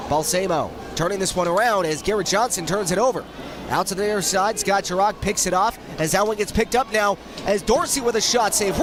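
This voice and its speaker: A man calling hockey play-by-play, sounding nasal from a head cold, with a rapid running commentary that rises on shots. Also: sits high, noisy background. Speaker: play-by-play announcer.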